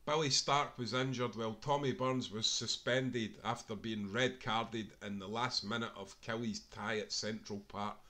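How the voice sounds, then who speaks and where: A man's voice: lowish voice, steady tone, moderate pace, hardly any pauses, bright, forceful tone. A Scottish man reading a scripted sports history book aloud, as an author narrating his own work.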